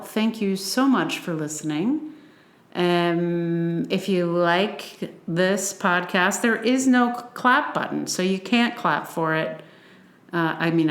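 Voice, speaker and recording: A woman hosting a solo podcast in a casual, rambling way, often interrupting herself with asides. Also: lowish voice. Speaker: podcast host. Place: indoors, a room near a train line. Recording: roomy, echoey home room.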